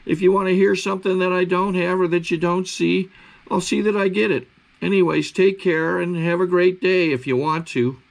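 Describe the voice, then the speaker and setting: A man with a rough, gravelly voice pitched a touch high talks casually, introducing his audio archive channel. He rambles a little and keeps it relaxed and friendly. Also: normal speed, rough recording. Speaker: archive host introducing a recorded talk.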